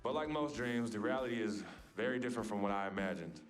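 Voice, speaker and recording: An actor playing an earnest, grateful young basketball star giving a measured, formal speech in a video game cutscene. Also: male voice, fairly low pitch, lively intonation, soft background music, lightly acted. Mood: earnest, solemn. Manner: measured, formal, deliberate public speaking.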